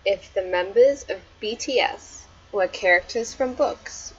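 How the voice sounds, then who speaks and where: A woman's voice: medium pitch, measured pace. An Australian woman hosts a casual online chat, taking her time and sounding friendly and welcoming. Her voice sits in an ordinary middle register. The stream audio is a little dull, with a faint hiss underneath. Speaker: book club host.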